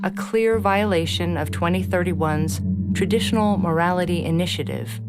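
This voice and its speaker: A woman's voice: fairly low pitch, moderate pace, natural voice. A woman playing a smug middle-aged propaganda podcast host in audio fiction, with a polished, insinuating presenter's delivery. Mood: narrating. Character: smug fifty-year-old female propaganda true-crime podcast host. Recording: loud guitar music behind voice. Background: guitar music.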